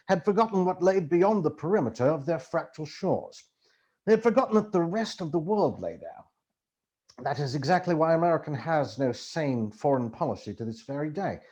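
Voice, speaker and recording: A man performs a book reading as an amateur actor would, with theatrical flair. His mid-pitched voice moves widely up and down, giving the narration a lively, expressive shape. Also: slightly rough. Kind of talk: dramatic book reading to camera.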